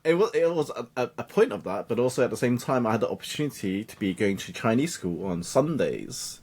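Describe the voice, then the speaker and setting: A man's voice: mid-range voice, brisk, husky. A man in his thirties, a native Cantonese speaker, telling his life story as an interview guest, often acting out the voices of other people. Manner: animated, acts out quoted voices of family and peers.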